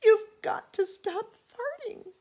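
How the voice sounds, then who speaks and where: Woman as a high-pitched, pleading little girl. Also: dark tone. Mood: sad, imploring. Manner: high, drawn-out, imploring. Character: pleading young girl who loves her dog. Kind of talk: storybook character read aloud.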